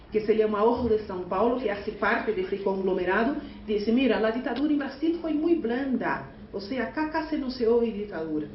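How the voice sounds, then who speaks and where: A Brazilian woman, a union delegate with Portuguese as her first language, gives an earnest conference speech in halting Spanish with a Portuguese accent. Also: fairly high pitch, slight background noise. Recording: echoey, distant, muffled hall recording.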